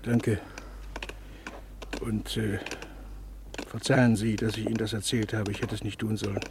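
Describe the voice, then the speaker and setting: An actor playing a weary, guilt-ridden male camp survivor in a radio play, speaking haltingly with many pauses. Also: lowish voice, level tone, noisy background, close to an everyday voice. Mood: apologetic.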